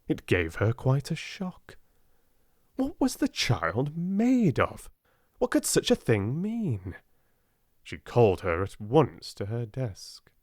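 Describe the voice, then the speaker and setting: A man with a British accent reading a storybook as a soft-spoken audiobook narrator. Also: mid-range voice, no room sound, studio quality.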